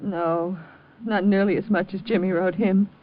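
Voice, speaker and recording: A woman's voice: medium pitch, steady tone, normal speed, close to an everyday voice. Anxious gangster's wife played by a woman, muffled vintage radio. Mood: weary, worried. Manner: pleading, emotional. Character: anxious, long-suffering gangster's wife and protective mother. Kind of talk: 1950s radio drama acting. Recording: muffled old 1950s radio recording.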